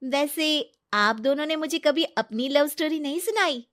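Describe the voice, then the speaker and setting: A woman's voice: high-pitched, normal speed, fairly bright tone, pitched above the performer's own voice. A woman voicing a cheerful, affectionate young wife with a sweetly teasing manner in a family story. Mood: playful teasing.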